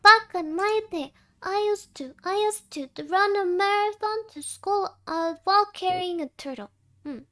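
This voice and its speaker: A woman voicing a small, shy, gentle cute character who speaks in a hesitant, soft-spoken way. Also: very high-pitched, normal speed, voice pushed up. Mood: playful, mock-grumbling.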